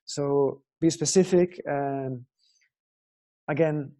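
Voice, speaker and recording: A man's voice: on the high side, steady tone, slow pace, mellow. A young man from Italy with an Italian accent, teaching guitar in an earnest, explanatory way and often asking viewers questions. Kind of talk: online guitar lesson talk.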